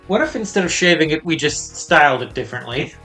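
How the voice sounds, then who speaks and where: A male streamer chats casually over a video game, cracking playful jokes. His voice has a bright, sharp edge. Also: on the high side, expressive, quick pace, soft background music. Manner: playful, jokey.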